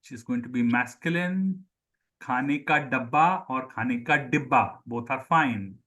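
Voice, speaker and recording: A man's voice: mid-range voice, expressive, fast, fairly bright tone. A man, probably middle-aged, with an Indian accent and Sindhi as his mother tongue, teaching a language class online, repeating words and questioning pupils. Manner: repeats words for pupils, calls on them by name. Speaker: Hindi teacher. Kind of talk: live online group lesson, questioning pupils and explaining.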